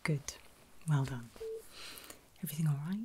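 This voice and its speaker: A woman whispering in a breathy, soothing and reassuring way, as a caregiver in an ASMR medical roleplay. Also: sits low, noisy background. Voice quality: breathy, mostly unvoiced whisper. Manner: soothing, reassuring, slow and deliberate. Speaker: ASMR performer playing a surgical nurse.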